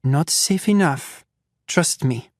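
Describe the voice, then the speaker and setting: A man's voice: on the high side, lively intonation, slow, no echo, clean studio sound, pitched above the performer's own voice. A man voicing a refined French-accented woman doctor. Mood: smooth reassurance. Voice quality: refined, polite, soothing.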